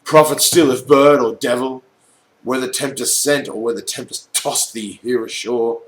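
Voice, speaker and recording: A man's voice: medium pitch, fairly even intonation, normal speed, roomy sound, slight background noise, voice pushed up, lightly acted, low-quality recording. A man playing a tormented, grief-crazed narrator of a gothic poem, delivered as a melodramatic theatrical recital. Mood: anguished pleading. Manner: melodramatic, swinging from murmurs to screams.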